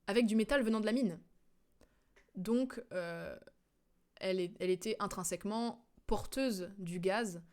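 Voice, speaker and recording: A woman streamer giving casual, rambling, explanatory commentary on a game. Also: mid-range voice. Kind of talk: casual commentary, recapping a game's story.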